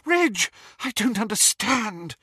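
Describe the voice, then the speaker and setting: A man's voice: high voice, very animated, slow pace, pushed and bright, husky, dry recording, clean studio sound, voice pushed up, full character voice. A man voicing a frantic middle-aged witch, a worried wife, pleading breathlessly. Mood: bewildered.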